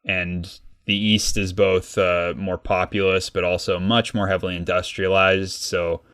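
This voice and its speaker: Deep-voiced man, rambling podcast talk. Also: little pitch movement, unhurried, fairly bright tone, clear, resonant tone, no echo, clean studio sound. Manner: rambling, many fillers and restarts. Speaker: podcast co-host, guest expert. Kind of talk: casual podcast discussion.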